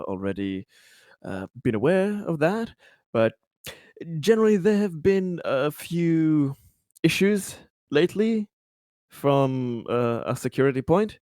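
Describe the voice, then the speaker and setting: A man playing a polite corporate director in a tabletop game, speaking haltingly with careful, diplomatic wording. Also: mid-range voice, darkish tone, clean tone, no room sound, studio quality, natural voice. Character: polite, hesitant male corporate director offering quiet bribes.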